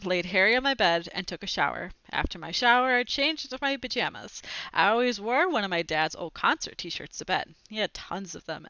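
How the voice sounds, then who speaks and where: Bright-voiced young woman reading a storybook. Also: medium pitch, dry recording. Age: young adult. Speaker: read-aloud presenter. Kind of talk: storybook read-aloud with brief asides. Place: small room at home, indoors. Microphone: headset boom mic. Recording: slightly dull, lo-fi headset sound.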